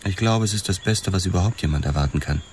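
A man's voice: deep voice, fast talker, darkish tone, a little hiss or hum, natural voice. An actor playing a laconic, wistful policeman in his thirties, an ex-boxer, with terse, dry delivery in a radio drama. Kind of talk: radio drama dialogue and first-person narration.

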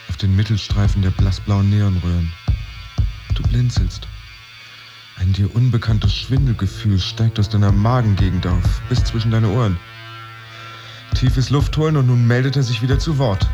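An actor playing a laid-off office worker who narrates himself in the second person in a sardonic, agitated radio drama monologue. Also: male voice, fairly low pitch, dull audio, lightly acted. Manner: sardonic, self-addressing, vivid.

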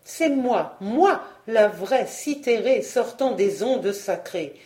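A woman's voice: fairly high pitch, animated, slow pace, mellow, roomy sound. A woman voicing a vain, imperious frog fairy queen in a storybook reading, with theatrical, bossy exclamations and a cruel temper. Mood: excited vanity.

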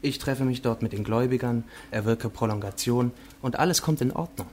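An actor playing an eager, formal provincial official in a German radio play, assured and obliging. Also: male voice, medium pitch, a little fast, darkish tone, a little hiss or hum, close to an everyday voice. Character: eager, businesslike nephew, a provincial court president.

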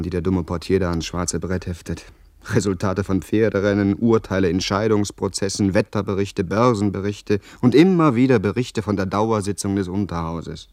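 An actor in a German radio play playing a polite, earnest young aristocrat with a formal, slightly anxious manner. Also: male voice, fairly low pitch, a little mellow.

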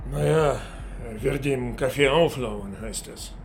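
An actor playing a gruff ex-soldier for hire in a radio drama, terse and matter-of-fact. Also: male voice, mid-range voice, a little slow, darkish tone, slight background noise. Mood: businesslike. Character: gruff, blunt ex-soldier hired gun.

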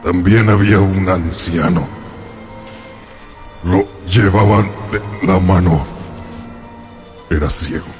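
A deep-voiced, sorrowful monster tells his story in a radio drama. He speaks very slowly and haltingly, brooding and lonely, with faint music underneath. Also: a little mellow.

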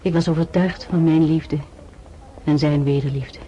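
An actress playing an earnest, tender young noblewoman in a radio play, speaking in a measured, formal, softly emotional way. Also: low voice, fairly even intonation, muffled recording, a little hiss or hum, lightly acted. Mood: reflective, tender. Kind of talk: Dutch radio play acting and first-person narration.

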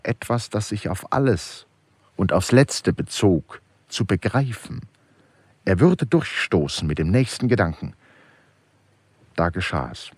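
A male radio play narrator with a very deep, husky voice, recorded close and dry. He reads literary prose gravely and with measured drama, letting the intensity swell and sink. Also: a little mellow, no echo.

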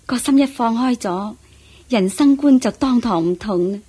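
A contented, earnest lay devotee in a radio drama. Her voice is fairly high with a husky edge. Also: normal speed, warm tone. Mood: content, sincere. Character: contented, earnest reformed female Buddhist devotee. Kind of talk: Cantonese radio drama acting.

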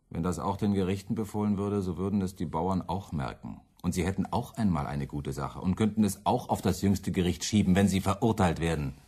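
A deep-voiced, husky radical preacher in a radio play. He is fiery and defiant, championing the hungry with accusatory, biting rhetoric. Scorn runs through his speech. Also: warm tone. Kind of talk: German radio play acting.

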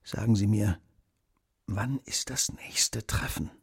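An actor playing an incisive, self-assured detective in a German radio drama, eloquent and commanding, moving between hushed and emphatic delivery. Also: male voice, mid-range voice, big pitch swings, fairly quick, husky, dry recording, clean studio sound. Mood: grave, urgent.

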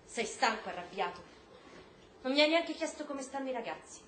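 A woman acting a troubled, reproachful wife in a radio play, pleading and intense. Her high voice moves expressively up and down, in an echoey, slightly noisy room. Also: lively intonation, moderate pace, fairly bright tone. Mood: hurt, reproachful. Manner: pleading, accusing, emotionally intense. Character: worried, reproachful wife and mother confronting her soldier husband. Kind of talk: stage-style radio drama acting.

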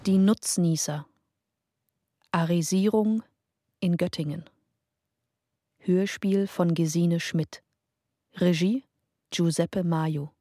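A woman announcing in a radio play, with formal, measured, matter-of-fact scripted delivery. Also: lowish voice, mellow, no room sound, studio quality.

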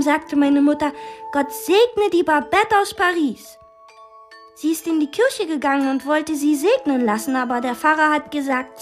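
A woman acting in a radio drama as a young girl who plays at being a wounded soldier, with theatrical, playful storytelling. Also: high voice.

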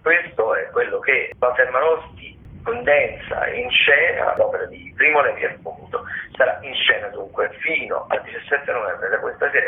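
A man, a theatre critic giving a radio listings rundown in a halting, rephrasing manner. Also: mid-range voice. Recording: thin tinny phone line.

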